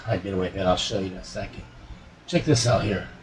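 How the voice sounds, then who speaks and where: A man's voice: low-pitched, fast talker, crowd noise. A man giving casual, unscripted commentary as a repair hobbyist, folksy and muttering to himself.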